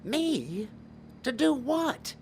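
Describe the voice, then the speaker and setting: Man as an earnest, slow-paced remorseful father. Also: very high-pitched, very animated, gravelly, darkish tone, a little hiss or hum, exaggerated voice. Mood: flustered, pleading. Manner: earnest, pleading, halting. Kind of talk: audio drama acting.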